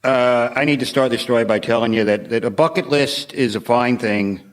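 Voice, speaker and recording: A man's voice: medium pitch, normal speed. A man telling a rambling comic story as a panel show guest, sounding incredulous.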